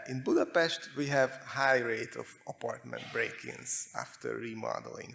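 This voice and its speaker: A man with a Hungarian accent giving a prepared technical presentation, speaking in measured short phrases with pauses. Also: medium pitch, creaky. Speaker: conference speaker, security researcher.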